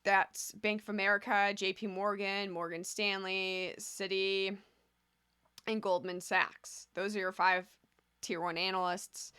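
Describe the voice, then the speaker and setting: A woman's voice: medium pitch, flat delivery, measured pace, bright, forceful tone, smooth, no room sound, studio quality. A woman hosting a casual video commentary on stocks, talking conversationally and drifting into asides. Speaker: host of a stock commentary channel.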